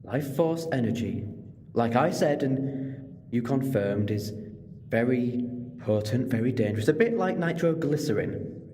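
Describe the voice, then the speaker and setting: A man's voice: medium pitch, lively intonation, dark tone, natural voice. A man playing a clever, chatty alien time traveller in an audio drama, explaining things conversationally with moral earnestness. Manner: expository, conversational, quick to digress. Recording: long reverberant hall.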